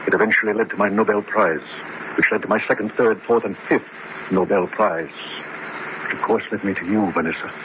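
An actor plays a vain, pompous scientist and florid lover in a radio play. He is grandiose in every phrase. The old recording is dull and clearly noisy. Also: lowish voice, fairly even intonation, fairly quick, lightly acted. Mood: grandiose, adoring. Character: pompous, self-adoring male celebrity scientist, florid lover.

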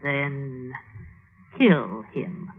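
A woman's voice: very deep voice, breathy edge, narrow, tinny audio, heavily acted. Ruthless scheming mother, old radio. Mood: insinuating, commanding. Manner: deliberate, commanding, insinuating. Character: ruthless, scheming mother goading her son to kill. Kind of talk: classic radio drama acting. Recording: band-limited old radio recording.

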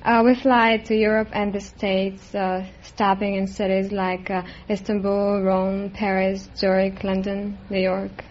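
A woman's voice: mid-range voice, little pitch movement, measured pace, few pauses, a little mellow. A woman in her twenties from Israel, answering a quiz host's questions in a hesitant, earnest way. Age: 20s. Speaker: quiz show contestant, airline hostess. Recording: dull vintage radio recording.

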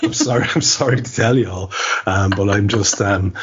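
A man's voice: on the low side, normal speed, breathy edge. An Irish man hosting a podcast in a casual, chatty and rambling way with a wry edge. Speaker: podcast host. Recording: dull, muffled lo-fi audio.

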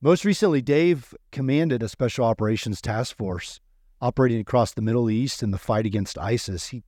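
A man's voice: fairly low pitch, warm tone, dry recording, studio quality. A man co-hosting an interview podcast in a casual, conversational style. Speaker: podcast co-host.

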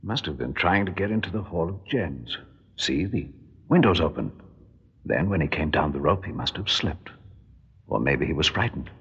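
An actor playing a composed, authoritative museum official, measured and knowing, in old radio drama style. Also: male voice, extremely low pitch, lively intonation, fairly bright tone, slightly rough, heavily acted. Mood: concerned. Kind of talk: 1960s radio drama acting. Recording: muffled, reverberant old radio recording.

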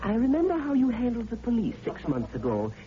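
A man's voice: very high-pitched, wildly swooping pitch, a little fast, heavily acted. A man acting as a cynical, needling newspaper rewrite man in an old radio drama, wry and insinuating. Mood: needling, suspicious. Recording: muffled vintage radio recording.

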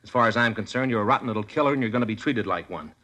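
A stern, fast-talking cop with a very deep voice. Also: male voice, sharp tone. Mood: lecturing. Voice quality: blunt, stern. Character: hard-nosed male police detective, father of two. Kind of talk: 1950s police drama acting.

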